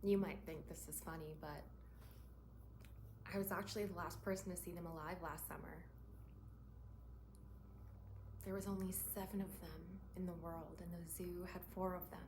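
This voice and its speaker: A woman playing a shaken young woman haunted by cruelty she witnessed, in a halting, emotional dramatic stage monologue. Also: fairly low pitch, steady tone, lightly acted. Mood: wistful, hushed. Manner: halting, hushed and breathy, swelling into anguished cries. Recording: roomy echo, faint background noise.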